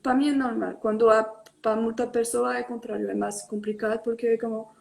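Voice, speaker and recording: High-pitched young woman on a video call, lots of ehs, flips between spanish and portuguese. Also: flat delivery, brisk, vocal fry, darkish tone. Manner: mixes Spanish and Portuguese, hesitant with many fillers. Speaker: tattoo artist guest. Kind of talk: casual online live interview.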